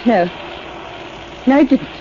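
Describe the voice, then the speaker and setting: A woman's voice: on the high side, lively intonation, takes it slowly. A woman acting a greedy, murderous wife in a 1940s radio drama, with an Australian accent. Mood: defiant. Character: cold, greedy, murderous wife, a scheming villainess. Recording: dull, noisy 1940s radio recording.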